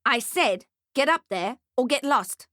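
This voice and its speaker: A woman's voice: sits high, animated, measured pace, fairly bright tone, no echo, studio quality, pitched above the performer's own voice. A woman voicing a pushy, crude man who works as a mall photographer in a storybook, salesy and brusque. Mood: brusque, rude.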